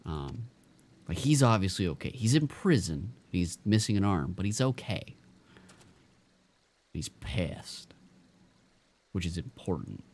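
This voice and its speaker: A man's voice: deep voice, big pitch swings, slow, pauses often, darkish tone. A man casually commenting while he streams a video game, rambling with many stammers and fillers.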